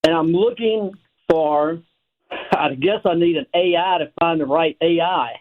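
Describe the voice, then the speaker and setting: A man's voice: a little high, measured pace. An older American man calling in to a radio show by phone, chatting casually in a folksy, self-deprecating way. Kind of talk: casual chat. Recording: narrow tinny phone line.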